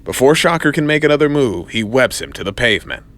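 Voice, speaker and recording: Animated male narrator of an audio drama. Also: mid-range voice, quick pace, background noise.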